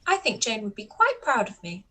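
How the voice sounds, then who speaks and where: Woman acting a lively, witty young gentlewoman. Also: a little high, moderate pace, heavily acted. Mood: wry, gracious. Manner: arch, composed. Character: witty, spirited young gentlewoman, arch but polite. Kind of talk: radio play live read.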